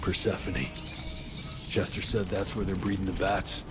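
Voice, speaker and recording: A man's voice: deep voice, flat delivery, normal speed, music in the background, lightly acted. A man playing a stubborn, brooding monster-hunting gunslinger in a dramatic Wild West video game, speaking tersely. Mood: grim, resigned.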